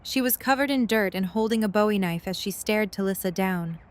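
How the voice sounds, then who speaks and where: A woman's voice: medium pitch, fairly quick. A woman reading scripted narration for an audio drama as its storyteller.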